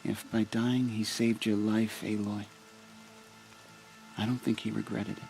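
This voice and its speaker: Gentle, earnest young tribesman over music. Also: on the low side, flat delivery, a little slow, creaky. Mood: sincere, subdued. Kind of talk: video game cutscene acting.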